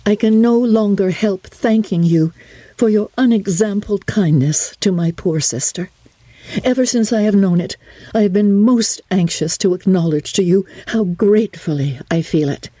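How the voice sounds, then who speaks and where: A woman as a high-pitched, earnest young gentlewoman. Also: normal speed, no echo, natural voice. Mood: earnest, nervous. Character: earnest, spirited young gentlewoman of a classic novel.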